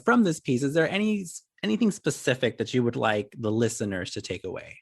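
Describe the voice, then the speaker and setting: A young man with a medium-pitched voice hosts a casual interview. He speaks conversationally, and the recording is dry with very little room sound. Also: sing-song, fairly quick, gravelly, breathy edge, no room sound. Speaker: interviewer, workshop facilitator.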